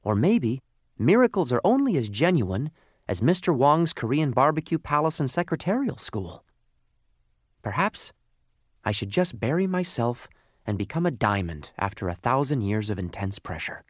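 A man's voice: mid-range voice, very animated, moderate pace, dry recording, studio quality, pitched above the performer's own voice. A man voicing an earnest, despondent talking lump of coal in a storybook.

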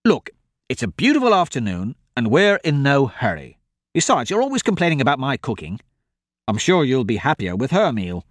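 A man's voice: medium pitch, wildly swooping pitch, normal speed, dry recording, studio quality. A man voicing a good-natured young male swordsman who talks in an upbeat, reasoning way. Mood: easygoing upbeat.

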